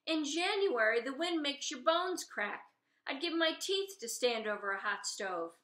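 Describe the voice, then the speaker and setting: Loud, indignant fisherman played by a woman. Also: sits high, animated, measured pace, voice pushed up. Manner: incredulous, argumentative. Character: gruff, combative fishermen regulars, men in a diner. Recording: roomy, echoey room sound.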